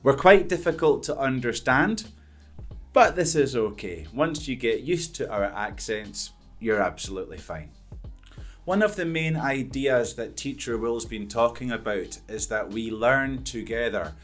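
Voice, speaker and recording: A man's voice: mid-range voice, expressive, unhurried, faint music behind. A Scottish man in his thirties, an English teacher, talking to camera at a studio mic in an upbeat, earnest, emphatic way. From Scotland. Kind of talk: direct-to-camera talk answering questions. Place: indoors before a green-screen backdrop. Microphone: studio condenser mic in front.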